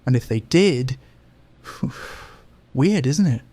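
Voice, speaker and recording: A man's voice: medium pitch, lively intonation, drawn-out words, warm tone, no echo. An actor playing a worried young man who muses hesitantly to a friend. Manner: musing, hesitant. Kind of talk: audio drama acting.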